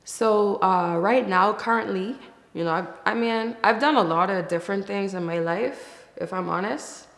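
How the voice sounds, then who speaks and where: A woman from the Bahamas giving casual, reflective interview answers in a thoughtful, candid way, with pauses as she thinks. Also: mid-range voice, vocal fry, fairly bright tone. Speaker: interview guest, musician. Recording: echoey, reverberant room.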